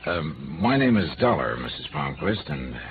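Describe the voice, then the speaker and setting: A man's voice: a bass voice, big pitch swings, normal speed, background noise. A man playing a wry, worldly insurance investigator in 1950s radio noir, narrating and talking in a clipped, hard-boiled, conversational way. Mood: hesitant, polite. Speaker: lead actor and narrator. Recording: muffled vintage radio recording.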